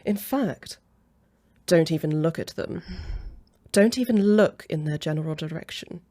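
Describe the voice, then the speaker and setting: A woman's voice: low voice, unhurried, mellow. A woman playing a haughty, jealous female vampire in an ASMR roleplay, with a snippy, sarcastic way of talking. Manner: snippy, sarcastic, easily flustered.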